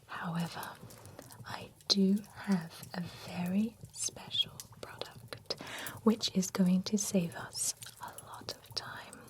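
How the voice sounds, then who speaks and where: A woman whispering as a chatty vampire groomer. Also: on the low side. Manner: soft whisper, polite salon chatter. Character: female vampire spa groomer, fussy and chatty. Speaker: ASMR roleplay performer. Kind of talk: whispered ASMR roleplay.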